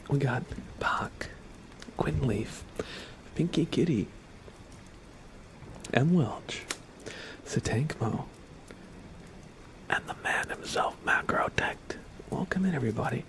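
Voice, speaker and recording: A man hosting an ASMR livestream, chatting softly and mostly in whispers close to the microphone. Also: on the high side, gravelly. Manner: slow, soft, mostly whispered.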